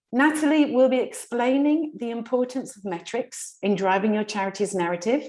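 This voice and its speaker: A woman's voice: a little high, a little mellow. A woman hosting a webinar over a video call, friendly and chatty, with small restarts as she goes. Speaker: webinar host.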